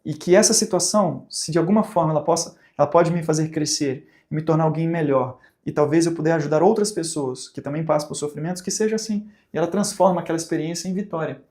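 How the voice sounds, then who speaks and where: Man, Brazilian Portuguese accent, course instructor, rhetorical questions, fairly high voice, warm tone. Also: fast talker, hardly any pauses. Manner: conversational, asks rhetorical questions, acts out examples. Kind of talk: recorded teaching talk.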